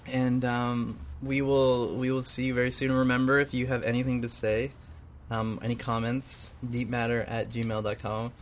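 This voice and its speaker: A man's voice: medium pitch, little pitch movement, normal speed, a little hiss or hum. A man co-hosting a homemade radio show, chatting casually in a joking, rambling and self-deprecating way. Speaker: co-host. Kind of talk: casual chat.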